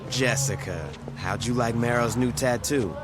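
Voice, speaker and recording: A man's voice: medium pitch, unhurried, close to an everyday voice. An actor playing a tough, defiant male street gang leader in a video game, blunt and threatening. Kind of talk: video game cutscene acting. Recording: loud outdoor noise behind the voice.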